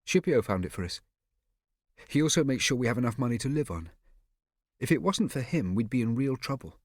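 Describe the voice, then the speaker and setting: A man's voice: medium pitch, animated, fairly quick, no room sound, clean studio sound, pitched above the performer's own voice, natural voice. A man voicing a proud, protective twelve-year-old boy who speaks earnestly and defensively.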